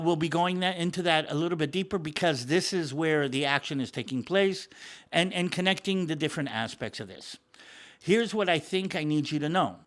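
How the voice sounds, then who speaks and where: Middle-aged man with an American accent, somewhat high-pitched; relaxed commentary on current events, often repeating words as he goes. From born in the United States, grew up in Israel. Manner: conversational, with frequent fillers and repeated words. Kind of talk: casual explanatory talk.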